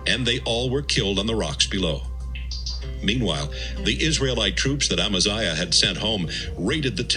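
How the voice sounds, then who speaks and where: A man's voice: lowish voice, pushed and bright, rough recording. A man giving a scripted, dramatic narration of scripture as the narrator of an audio Bible. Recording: music bed behind the voice.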